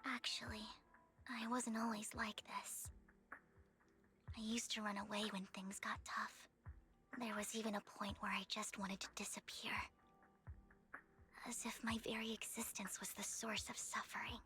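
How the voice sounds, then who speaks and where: A woman voicing a gentle, devoted young woman with a sorrowful past in a video game, speaking in a soft, breathy, delicate way. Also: medium pitch, level tone, normal speed, pauses often, close to an everyday voice. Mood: calm, wistful. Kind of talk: anime-style video game voice acting. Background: background music.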